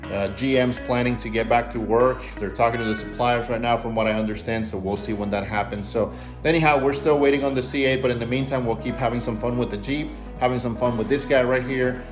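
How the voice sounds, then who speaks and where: A man hosting a car vlog, chatting casually with informal enthusiasm. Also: medium pitch. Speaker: car channel host.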